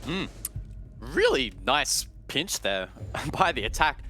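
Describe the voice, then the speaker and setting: A man giving measured, analytical esports commentary, explaining play as a broadcast caster. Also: mid-range voice, very animated, pushed and bright, faint music behind. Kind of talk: analytical live game commentary.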